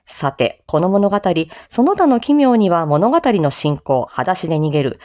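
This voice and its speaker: A woman reciting a theatrical Japanese-style sales-pitch monologue in a declamatory, sing-song way with playful touches. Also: sing-song, measured pace, dark tone. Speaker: amateur voice drama performer, streamer. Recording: slightly dull lo-fi microphone sound.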